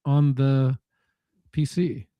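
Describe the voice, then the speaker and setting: A man in a casual tech discussion, speaking haltingly and correcting himself as he thinks aloud. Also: medium pitch, level tone, warm tone, no room sound, studio quality.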